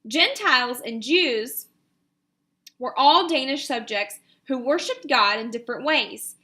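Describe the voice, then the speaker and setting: A woman, an elementary teacher reading a picture book aloud and giving pupils instructions in an expressive, deliberate way. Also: sits high.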